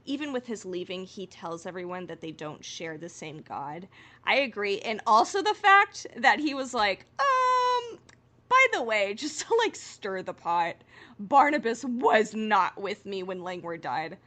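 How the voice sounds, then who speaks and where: A woman with a bright, forward voice co-hosts a casual fan chat show. Her delivery is playful and teasing, and her pitch moves around in a very lively way. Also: unhurried. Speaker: podcast co-host.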